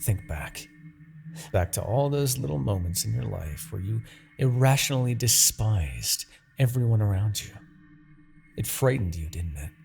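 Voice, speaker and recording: A man playing a haughty, seductive and menacing demon king in a fantasy audio roleplay, with a theatrical, taunting, drawn-out delivery. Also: lowish voice, lively intonation, creaky, a little mellow, faint music behind. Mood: persuasive, menacing. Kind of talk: scripted fantasy ASMR roleplay acting.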